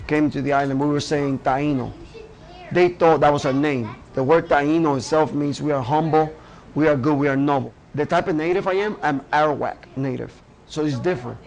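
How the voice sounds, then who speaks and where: A man from Puerto Rico, a boxer and gym owner, speaking earnestly and candidly in a documentary interview, often adding "you know what I mean". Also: fairly high pitch.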